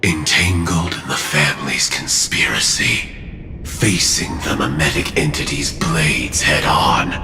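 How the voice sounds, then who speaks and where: The slow, coldly taunting dark figure who looms over the hero in a game's dream sequence, fatalistic words echoing. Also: male voice, deep voice, heavily acted. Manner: ominous, deliberate, taunting. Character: grim, fatalistic male harbinger of death, coldly mocking. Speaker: voice actor in a game cutscene. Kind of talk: scripted dramatic game dialogue. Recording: echoey space under clear music.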